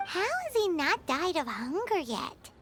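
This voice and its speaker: A tiny fairy girl chatters cheekily in a very high, squeaky voice that leaps about. Loud game music plays behind her. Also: huge pitch swings, a little slow, exaggerated voice. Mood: chatty. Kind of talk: game voice acting.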